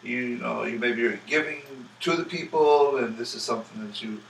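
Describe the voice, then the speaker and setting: A man's voice: medium pitch, normal speed, creaky. A man in the audience asking a long, rambling question with many restarts. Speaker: audience member. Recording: echoey room from far off, faint noise.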